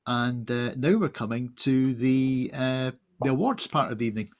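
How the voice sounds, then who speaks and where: A man with a gravelly, husky voice making informal, halting announcements as co-host of an online event. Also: mid-range voice. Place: indoors on a video call.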